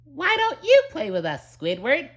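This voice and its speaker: A man voicing an excitable, childlike cartoon sea sponge with a gushing, earnest manner. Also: extremely high pitch, unhurried, highs cut off, voice pushed up, exaggerated voice. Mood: cheerful.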